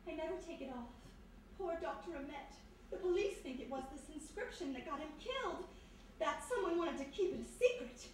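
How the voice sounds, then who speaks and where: An actress playing a clinging, despairing society daughter with a theatrical, plaintive delivery in a live radio mystery drama. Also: female voice, high-pitched, expressive, brisk. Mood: ominous, despairing. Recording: very echoey hall, distant mic, faint noise.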